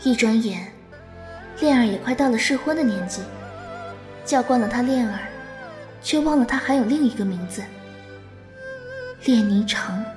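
Gentle senior swordswoman for a wuxia audio drama, female actor: lightly high, patient, doting, composed, over soft music. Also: expressive, moderate pace, long pauses, close to an everyday voice. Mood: wistful, resolute. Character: gentle, patient, doting young swordswoman, older fellow disciple.

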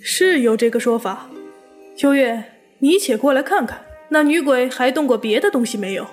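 A woman playing a shrewd, composed young gentleman detective in a period audio drama, with wry delivery. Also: sits high, expressive, moderate pace. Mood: curious, wry. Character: cool, shrewd young gentleman sleuth of ancient China. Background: faint music.

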